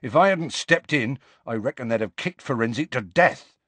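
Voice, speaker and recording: A man voicing a huge, gruff, evasive gamekeeper with rustic colloquial speech in an audiobook. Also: medium pitch, expressive, moderate pace, a bit bright, no room sound, voice pushed up. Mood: heavy, gruff. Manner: colloquial rural speech, dropped words.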